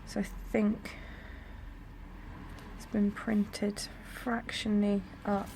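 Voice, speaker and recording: A young woman with a croaky voice, chatting casually at home while decorating her planner. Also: medium pitch, level tone, slow. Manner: chatty, rambling, soft-spoken. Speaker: planner vlogger. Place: home room near a busy road. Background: traffic noise.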